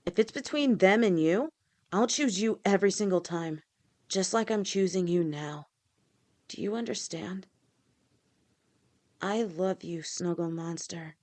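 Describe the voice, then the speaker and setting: A woman voicing a loving, protective lamia fiancée in an audio roleplay, speaking gently and reassuringly. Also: on the low side, lightly acted. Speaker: voice actor.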